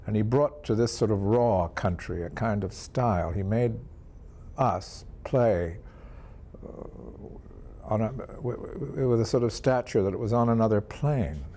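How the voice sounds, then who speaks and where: Older guy reminiscing, mellow and low, emphatic, repeats himself, bit of room noise. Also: a little slow. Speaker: interviewee recalling radio drama history. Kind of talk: admiring reminiscence. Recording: noticeable background ambient noise.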